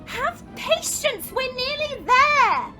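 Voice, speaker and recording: Woman as a very high-pitched, poised princess. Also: very animated, slow, music in the background, heavily acted. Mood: patient. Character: high-voiced dragon princess, patient, dignified, resolute. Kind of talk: fantasy audio drama acting.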